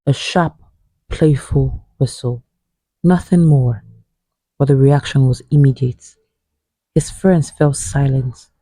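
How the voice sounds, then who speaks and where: A man tells a scary folktale in a very dark, mellow voice with a husky grain. He reads as a storyteller, grave and suspenseful, taking his time over every phrase. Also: mid-range voice, no echo, low-quality recording. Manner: slow, grave, suspenseful delivery. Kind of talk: scripted narration of a horror folktale.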